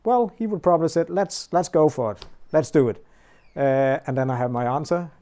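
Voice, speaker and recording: A man's voice: on the high side, lively intonation, a little fast, warm tone. A man, a silver manager giving a tour of a workshop, hesitant and full of fillers. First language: Danish. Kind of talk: guided tour talk.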